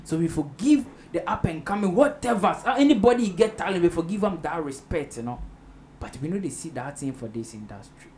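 A man's voice: a little high, lively intonation, fairly quick, darkish tone. A man with a Ghanaian accent hosting a roundtable, chatting casually in Pidgin-flavoured speech and echoing what his guests say. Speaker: roundtable host. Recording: noisy room with ambient background.

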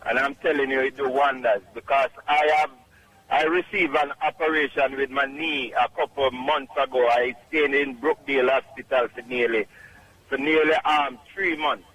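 A middle-aged man from Jamaica with a Jamaican accent, calling in to a radio show and speaking in an emphatic, halting, repetitive way. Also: medium pitch, steady tone, a bit bright, low-quality recording. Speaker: caller. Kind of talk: radio call-in testimonial.

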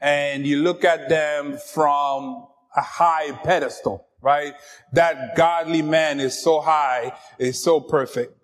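High-voiced French-native man preaching. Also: slow pace. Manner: lively, joking, talks to the congregation. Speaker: pastor. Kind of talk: sermon.

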